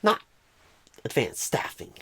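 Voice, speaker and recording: A man playing a clueless, bumbling job recruiter in an ASMR roleplay interview, with a husky voice that often slips toward a whisper and halting, rambling phrasing full of odd pauses and stresses. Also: a bit bright, a little hiss or hum. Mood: earnest, rambling.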